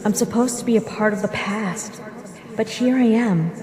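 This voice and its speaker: A woman voicing a gentle, wistful monster mother back from death, speaking tenderly and reflectively in a dramatic comic dub. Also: fairly high pitch, normal speed, close to an everyday voice. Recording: reverberant hall echo, music behind.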